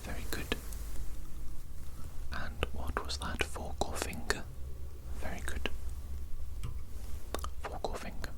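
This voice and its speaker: A person whispering as a politely menacing evil doctor in an ASMR roleplay, speaking deliberately with soft, hesitant asides. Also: lightly acted, poor audio. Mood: clinical, coaxing.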